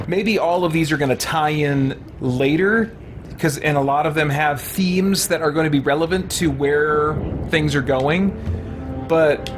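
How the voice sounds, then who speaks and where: A man's voice: a little high, noisy background. A man giving casual, rambling gameplay commentary as he thinks aloud. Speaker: let's play host.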